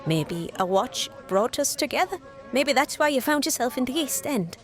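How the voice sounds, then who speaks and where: A woman's voice: sits high, lively intonation, normal speed, faint music behind. An actress playing a chatty, streetwise Victorian East End woman who is eager to please, speaking colloquially in an audio drama. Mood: hopeful, flirtatious. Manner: colloquial, coaxing working-class speech.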